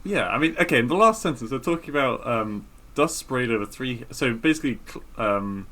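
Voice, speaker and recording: A man's voice: mid-range voice, lively intonation, brisk, sharp tone. A man co-hosting a podcast, chatting casually and reading a story aloud. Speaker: podcast co-host.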